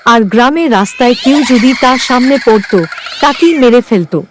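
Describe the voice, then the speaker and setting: Female storyteller for cartoon horror narration, slightly high register, some background nature noise. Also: animated, normal speed.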